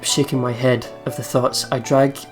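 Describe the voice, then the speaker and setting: A man's voice: mid-range voice, little pitch movement, normal speed. A man who plays games for viewers, reading a visual novel's narration aloud. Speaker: let's player. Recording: game music behind the voice.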